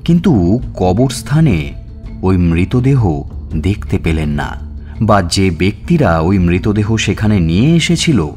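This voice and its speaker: Low-voiced man narrating suspense. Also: very animated, slow pace, warm tone. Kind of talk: dramatic scripted story narration. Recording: music bed under narration.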